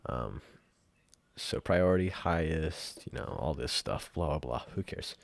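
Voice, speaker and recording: A man giving a casual, off-the-cuff software tutorial in an offhand, rambling and informal way. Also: extremely low pitch, expressive, measured pace, vocal fry, no echo. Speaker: tutorial presenter.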